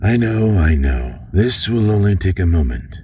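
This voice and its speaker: A man playing a scheming old villain father in an audio drama, measured and authoritative. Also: low-pitched, big pitch swings, a little mellow. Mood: coaxing, scheming. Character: scheming old villain father, deep-voiced former ruler. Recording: noticeable background noise.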